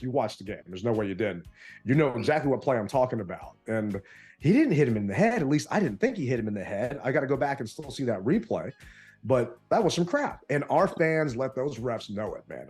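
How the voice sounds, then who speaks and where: A man co-hosting a sports podcast, telling stories in an animated, casual way, his voice a bit strained from yelling. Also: medium pitch, fast, faint music behind. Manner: animated storytelling. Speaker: podcast co-host.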